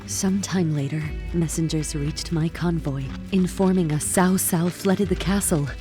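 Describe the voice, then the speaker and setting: A woman's voice: lowish voice, steady tone, moderate pace, music in the background, lightly acted. A woman playing a solemn, dutiful, grieving young warrior in a fan motion comic, with restrained delivery. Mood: sombre, grieving. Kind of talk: fan motion comic acting, first-person narration.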